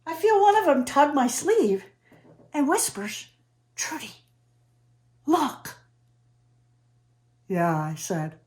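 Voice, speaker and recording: Woman acting eccentric, swooping, awestruck storyteller. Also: mid-range voice. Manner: dramatic storytelling with emphatic swoops and pauses. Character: eccentric, awestruck woman named Trudy who chums with space aliens. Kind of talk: theatrical comic monologue.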